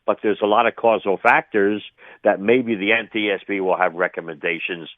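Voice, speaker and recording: A man's voice: lowish voice, fairly even intonation, moderate pace, no room sound. Older man on a tinny phone line. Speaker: retired air traffic controller, interview guest. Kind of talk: spontaneous radio interview commentary. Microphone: phone call to a radio show.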